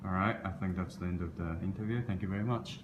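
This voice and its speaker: A young man with a low voice works as a casual student interviewer. He speaks informally and a bit hesitantly, often affirming what he hears. The recording is echoey, with clear background noise. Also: steady tone, fast, muffled recording.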